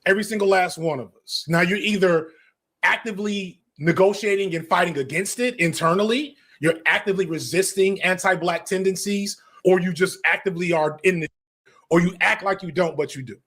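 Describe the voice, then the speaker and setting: An American man giving opinionated, off-the-cuff commentary in an animated, emphatic, argumentative way, as a video essayist. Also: sits high, fairly quick, breathy edge. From United States.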